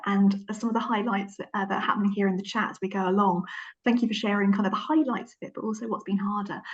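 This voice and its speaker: A woman's voice: medium pitch, dull audio. A woman hosting a webinar, introducing speakers with friendly fillers. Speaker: webinar host. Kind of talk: moderating a webinar.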